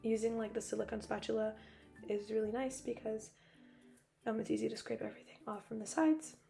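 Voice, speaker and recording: A young woman with a hoarse voice, still recovering from losing it, narrating a cooking video in a chatty, joking way with frequent laughs. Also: on the high side, steady tone, fairly quick, dark tone, soft background music. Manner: chatty, joking, laughs often, rambling asides. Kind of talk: casual voice-over narration.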